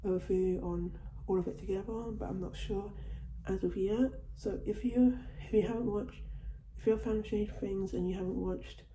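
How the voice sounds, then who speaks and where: Dark-voiced woman chatting in a haul vlog. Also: mid-range voice, fairly even intonation, moderate pace, few pauses, roomy sound, a little hiss or hum, low-quality recording. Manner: chatty, repeats and restarts phrases. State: recovering from a cold. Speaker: vlogger. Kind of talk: casual haul video. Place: at home, indoors.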